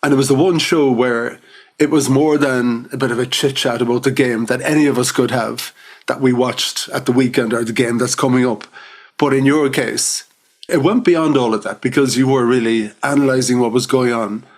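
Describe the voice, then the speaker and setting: A man's voice: mid-range voice, steady tone, moderate pace. A man hosting a podcast interview, chatty and enthusiastic, often laughing between points. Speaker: podcast host. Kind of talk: casual interview chat.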